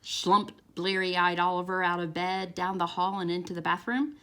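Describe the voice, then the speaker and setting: A woman reading a picture book aloud to young children in a theatrical, expressive storytime style with drawn-out words and sound effects. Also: lowish voice.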